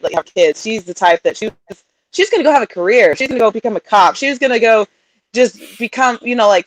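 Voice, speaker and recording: A woman's voice: mid-range voice, no room sound, low-quality recording. A chatty woman in her thirties talks about her life as an interview guest, laughing often as she goes. Her voice sits mid-range, and the recording is close and dry with no room sound. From Utah, raised also in Sweden and England. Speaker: guest, coaching client. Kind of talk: casual interview talk.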